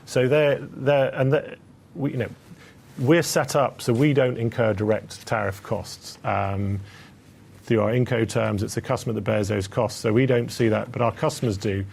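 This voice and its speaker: A man speaking as a company executive, answering analysts on an earnings call in a hesitant, halting, measured way. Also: medium pitch, steady tone, gravelly, darkish tone, husky.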